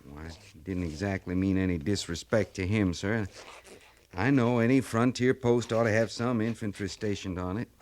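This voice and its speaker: An actor playing a plain-spoken, loyal cavalry sergeant who grumbles respectfully in a folksy, hesitant way, as in 1950s radio westerns. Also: male voice, fairly low pitch, moderate pace, a little mellow, lightly acted. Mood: grumbling. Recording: noisy vintage radio recording.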